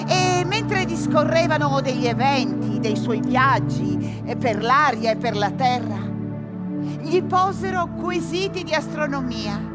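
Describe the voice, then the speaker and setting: A woman playing a solemn, foreboding chorus narrator, telling a radio tragedy in deliberate, portentous phrases. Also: high voice. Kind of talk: dramatic scripted narration, radio play. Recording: prominent music under the voice.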